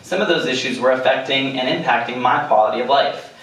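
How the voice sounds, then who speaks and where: A man from Italy running for city council, giving a smooth, prepared self-introduction. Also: medium pitch, brisk, fairly bright tone. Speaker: political candidate. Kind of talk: opening statement at a debate. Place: theater stage before a live audience. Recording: echoey theater from far off.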